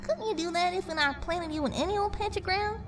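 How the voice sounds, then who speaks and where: A woman's voice: very high-pitched, brisk, far from the mic. A woman playing a skeptical, plainspoken young pony with a folksy Southern US accent in an audio drama. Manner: folksy, blunt, doubtful. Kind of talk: fan audio drama acting.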